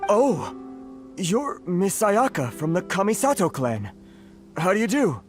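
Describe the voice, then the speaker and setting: An actor voicing an anxious foreign merchant in a game, who talks hesitantly and sighs often. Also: male voice, high-pitched, huge pitch swings, unhurried, exaggerated voice. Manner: nervous, hesitant, sighing often. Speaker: trade association merchant. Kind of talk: game voice acting. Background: game music.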